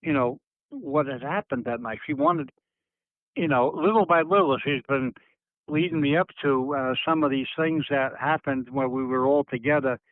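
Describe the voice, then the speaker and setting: An older man speaking reflectively in a phone interview, halting and circling back as he searches for words, heard over a narrowband telephone line. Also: mid-range voice, animated, no echo. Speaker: interviewee recalling family history. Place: on a telephone call. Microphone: telephone handset.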